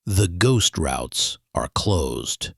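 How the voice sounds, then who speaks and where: Man as grave government official, very low voice, husky, authoritative, formal, dry close recording, audio drama. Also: very animated, drawn-out words, fairly bright tone, dry recording, exaggerated voice. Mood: stern, formal. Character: grave male government official issuing stern orders. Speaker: actor in an audio mystery drama.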